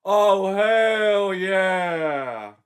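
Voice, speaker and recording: A man's voice: high-pitched, expressive, very slow, clean tone, voice pushed up, exaggerated voice. A man voicing a brash young male wrestler suitor who talks in bombastic promo style. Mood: bombastic bravado.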